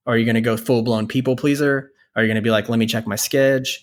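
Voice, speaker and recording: A man's voice: medium pitch, steady tone, racing. Wry man in his thirties chatting. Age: 30s. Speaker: podcast co-host. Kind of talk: casual chat.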